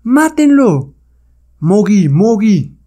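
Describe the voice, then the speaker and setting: Man voicing a savage, imperious jungle witch queen, raised pitch. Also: moderate pace, warm tone, natural voice. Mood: furious. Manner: commanding, threatening.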